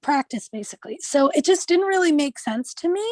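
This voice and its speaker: A woman's voice: sits high, animated, brisk, no room sound, clean studio sound. A woman in a casual class discussion, questioning and debating the points made. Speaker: participant in a film class group meeting.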